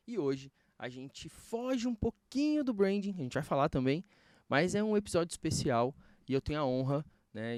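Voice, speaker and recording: A man's voice: mid-range voice, dry recording. A Brazilian man hosting a podcast, interviewing casually in informal, slangy language.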